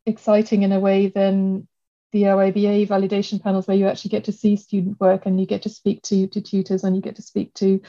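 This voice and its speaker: A woman's voice: mid-range voice, monotone, quick pace, warm tone, lo-fi sound. A woman architect who grew up in Germany, answering interview questions in a thoughtful way, often restarting her sentences. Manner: thoughtful, many restarts and fillers. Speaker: architect and guest.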